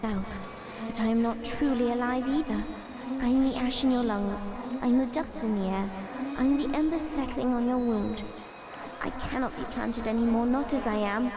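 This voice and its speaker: A woman's voice: fairly high pitch, steady tone, normal speed, natural voice. A woman playing a dying magical tree seed spirit in a fantasy audio drama, speaking in a formal, grave, earnest way. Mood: solemn.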